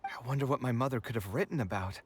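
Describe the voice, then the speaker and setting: A man's voice: medium pitch, quick pace, noisy background, natural voice. A man voicing an earnest, thoughtful young man in a video game, pensive and hesitant. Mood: grateful, curious. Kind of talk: scripted game dialogue.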